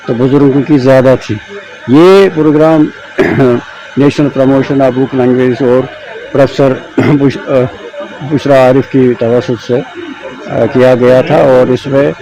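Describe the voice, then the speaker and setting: A man with a Kashmiri accent giving a halting, formal interview as a writer. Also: medium pitch, warm tone, rough recording. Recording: busy crowd chatter behind.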